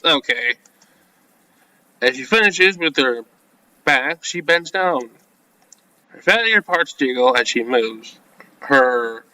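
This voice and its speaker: Bright-voiced man doing game playthrough. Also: fairly high pitch, dry recording, poor audio. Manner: informal, reacts with asides between read lines. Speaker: video game let's-player. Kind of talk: reading visual novel script aloud with casual commentary.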